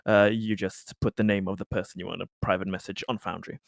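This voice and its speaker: A man chatting casually as a tabletop game player, explaining things in a hesitant, halting way. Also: low voice, little pitch movement, vocal fry, no echo, clean studio sound.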